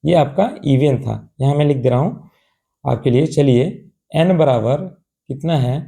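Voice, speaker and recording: A male tutor, hoarse from a cold, explains a math problem step by step. He coaxes his students along, asks rhetorical questions and checks in often. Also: mid-range voice, measured pace, dark tone. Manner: calls students "babu" in a patient, coaxing tone.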